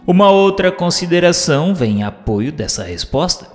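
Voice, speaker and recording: A man's voice: fairly high pitch, sing-song, normal speed, long reverb tail. A man narrating scripted book commentary in a formal, didactic manner. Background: faint music.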